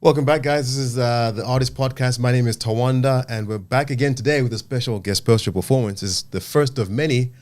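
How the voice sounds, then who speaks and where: A man hosting a casual podcast interview in a studio, chatty and animated, with informal, slangy, friendly phrasing. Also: mid-range voice, fairly quick, few pauses. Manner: animated, loud talker. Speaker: podcast host.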